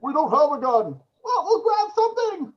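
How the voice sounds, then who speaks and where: A performer voicing panicky, hysterical suburban parents in a shrill voice at a script table read. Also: big pitch swings, moderate pace, muffled recording.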